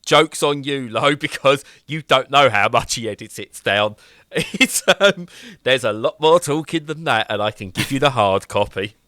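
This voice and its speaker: An English man co-hosting a gaming podcast, bantering in an animated, joking way with lots of quick restarts. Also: medium pitch, dry recording, studio quality. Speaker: podcast co-host. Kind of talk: casual banter.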